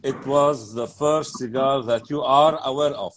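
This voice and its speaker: Male interviewer on a video call, mid pitch, eager backchanneling, clear background noise and clicks. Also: unhurried. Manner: eager, backchannels a lot, repeats "yeah". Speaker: host, interviewer. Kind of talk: casual live interview.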